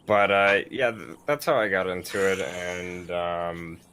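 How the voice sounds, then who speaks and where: A man's voice: low voice, unhurried, fairly bright tone, slight background noise. A man in his early twenties chatting casually with friends during a gaming livestream Q&A. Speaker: livestream group member. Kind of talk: casual group chat, Q&A while gaming.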